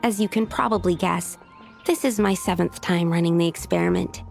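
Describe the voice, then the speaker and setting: A woman's voice: fairly low pitch, moderate pace, creaky, faint music behind. A woman playing a studious, precise young alchemist in a video game, speaking methodically and earnestly. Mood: earnest, explanatory. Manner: methodical, explanatory, slightly hesitant.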